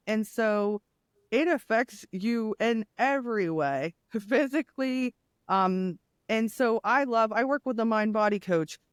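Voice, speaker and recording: Deep-voiced woman telling her story. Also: smooth, dry recording, clean studio sound. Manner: candid, animated storyteller with laughs. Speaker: guest, nurse and grief coach. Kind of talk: casual interview conversation.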